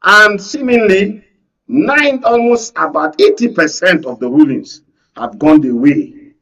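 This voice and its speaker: A man's voice: high voice, a little slow, fairly bright tone, distant mic, rough recording. A Nigerian man in a political panel discussion, speaking insistently and repeating phrases to hold the floor. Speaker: panel guest, media analyst. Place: radio and TV studio.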